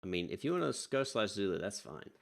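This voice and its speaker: Guy running virtual atc on stream, really low voice, rough fry, chatty and keeps losing his train of thought. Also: very animated, quick pace. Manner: chatty, easily distracted, wanders off mid-thought; polite, calls pilots sir. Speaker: virtual air traffic controller and streamer. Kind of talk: casual live-stream chat mixed with radio phraseology.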